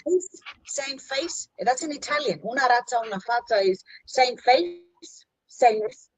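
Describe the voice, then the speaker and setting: Woman on a video call, kinda high voice, dry sound, lots of little uh-huhs. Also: lively intonation, measured pace, breathy edge, dry recording, poor audio. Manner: friendly, gives lots of short agreeing replies. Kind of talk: casual video-call chat.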